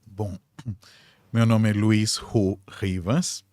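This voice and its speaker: A man's voice: fairly low pitch, little pitch movement, drawn-out words, a little mellow. A man in his forties from Peru with a Peruvian Spanish accent, a native Spanish speaker talking steadily with small hesitations and light humor. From Arequipa, Peru. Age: 40s. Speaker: guest, designer and writer.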